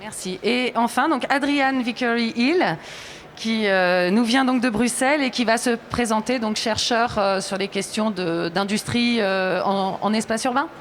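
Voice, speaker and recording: A woman moderating a conference round table in a slightly high voice. She pauses often on fillers as she introduces the guests, with a faint hum from the hall behind her. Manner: hesitant, many fillers.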